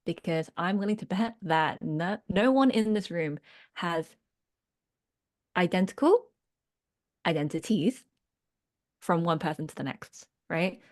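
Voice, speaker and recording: A woman's voice: fairly low pitch, unhurried, long pauses. Woman leading an online workshop. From United Kingdom. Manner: earnest, reflective, checks in with listeners. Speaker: workshop leader and business coach.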